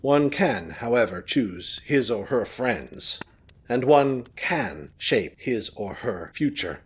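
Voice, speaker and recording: An actor playing a courteous, warm-hearted gentleman host of an old manor in an audio drama. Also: medium pitch, animated, slow pace, breathy edge, full character voice. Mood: gracious, sincere.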